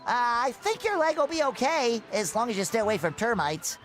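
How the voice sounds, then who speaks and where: A man's voice: very high-pitched, sing-song, brisk, over loud music. A man voicing a boyish, naive, endlessly optimistic cartoon sea sponge in a video game, eager and earnest. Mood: cheerful, matter-of-fact.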